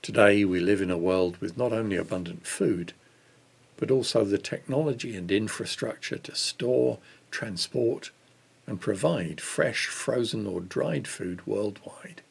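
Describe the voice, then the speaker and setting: An older man reads a devotional text aloud in a measured manner. His voice is fairly low and husky, with a strong creak running through it. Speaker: reader of a church Lent devotional. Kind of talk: reading a devotional book aloud.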